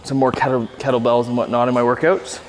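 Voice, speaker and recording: A young adult man chatting in a relaxed, agreeable way with casual slang during a gym workout. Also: mid-range voice, fast talker, a little hiss or hum. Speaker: workout partner. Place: large echoey gym. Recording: echoey gym with music behind.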